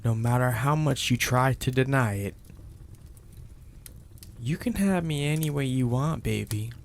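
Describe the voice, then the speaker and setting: A man playing a possessive, domineering mafia boss in an intimate ASMR roleplay, speaking deliberately and with teasing menace in a gravelly, husky, breathy voice. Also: mid-range voice, a little hiss or hum. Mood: seductive, smug. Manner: slow, deliberate, menacing, florid wording.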